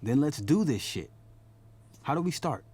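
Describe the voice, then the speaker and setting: A man's voice: fairly high pitch, animated, moderate pace, darkish tone, slightly rough. A man playing a protective, guilt-ridden older brother, a young man, in a video game drama. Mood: encouraging.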